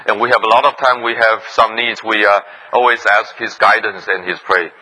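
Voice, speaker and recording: A man's voice: on the low side, fairly even intonation, bright, forceful tone, low-quality recording. A man who introduces the guest pastor, then interprets the sermon phrase by phrase. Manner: rapid interpreting after each phrase. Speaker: church host and interpreter.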